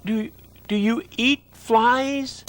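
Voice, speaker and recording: A woman voicing a young male pig who is anxious and easily frightened, pleading in a high, panicky way. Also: fairly even intonation, extremely slow. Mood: wondering. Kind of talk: storybook read-aloud.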